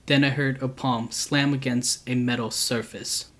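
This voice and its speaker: A man narrates scary stories with a calm, unhurried read. His pitch sits in an ordinary middle range but barely moves, so the telling stays flat and even. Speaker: storyteller, channel host. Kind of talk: reading true scary stories aloud.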